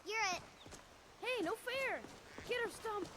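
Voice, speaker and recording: A woman voicing a playful, excitable village child in a fantasy game, heard as distant game audio. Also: very high voice, measured pace, noisy background.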